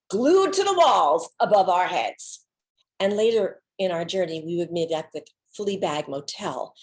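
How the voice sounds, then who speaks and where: A woman giving a dramatic reading of an autobiographical monologue, with theatrical, deliberate phrasing and pauses. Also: lowish voice. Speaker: solo stage performer.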